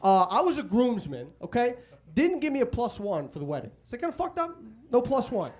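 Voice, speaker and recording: A young man from Chicago with a Chicago accent doing a stand-up comedy set, chatting loosely and shouting out crude acted-out quotes. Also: extremely high pitch, wildly swooping pitch, fairly quick. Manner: conversational, crude punchlines, loud acted-out quotes. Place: comedy club stage. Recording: live club audience audible. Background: audience laughter.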